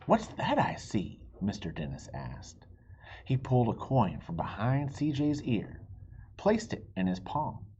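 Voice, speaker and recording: Male picture-book reader, slow and animated, expressive with dramatic pauses; slightly muffled, noisy home recording. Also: mid-range voice. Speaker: storybook reader. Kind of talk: expressive children's picture-book read-aloud.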